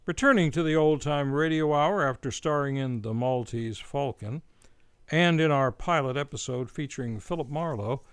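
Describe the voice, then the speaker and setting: A man's voice: mid-range voice, no room sound. A man hosting an old-time radio drama hour, reading a scripted introduction in a grandiose, theatrical showman's manner. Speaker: radio play host and announcer.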